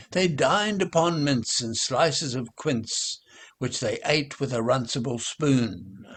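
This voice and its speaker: Man, very rough husky voice, slow pace, theatrical and playful, children's rhyme reading. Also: mid-range voice. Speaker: reader of nursery rhymes. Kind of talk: expressive children's rhyme recitation.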